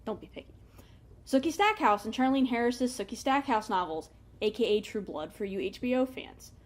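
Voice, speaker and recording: Female book review host, somewhat high voice, casual and chatty, wry asides, animated emphasis. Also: normal speed.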